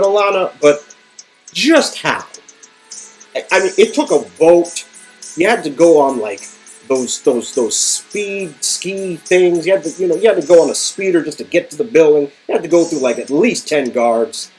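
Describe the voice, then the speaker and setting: A man playing a flustered, earnest character with a halting, emphatic delivery in a story-game reading. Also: a little high, expressive, rough recording. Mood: baffled, incredulous. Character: flustered, incredulous man confronting a friend, earnest. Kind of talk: amateur dialogue reading of an interactive story game. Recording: music under the voice.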